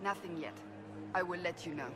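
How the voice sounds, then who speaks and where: A woman's voice: lowish voice, brisk, lightly acted. A woman playing a stern, scornful warrior in a video game, blunt and sarcastic in her delivery. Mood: resolute. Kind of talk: video game dialogue acting. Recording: long hall reverb, music behind.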